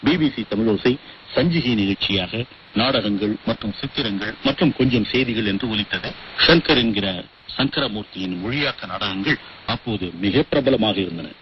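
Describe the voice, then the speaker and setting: Male radio presenter, low register, scripted documentary read, dull hissy shortwave sound. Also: normal speed.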